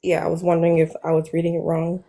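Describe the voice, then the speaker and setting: A woman in her twenties calling into a live stream, speaking softly and hesitantly with a youthful-sounding voice. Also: fairly low pitch, monotone, quick pace. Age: 20s. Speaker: caller. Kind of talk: casual live stream conversation.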